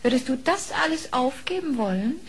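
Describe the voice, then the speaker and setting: An actress playing a worried fox wife in an audio play, pleading with theatrical delivery. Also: female voice, sits high, expressive, normal speed. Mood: worried, imploring. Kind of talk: German audio play acting.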